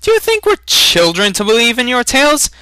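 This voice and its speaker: A man's voice: extremely high pitch, huge pitch swings, fairly quick, pushed and bright, clean tone, no room sound, voice pushed up, heavily acted. A man voicing a defiant villager who hollers scornfully in a dramatic fantasy reading.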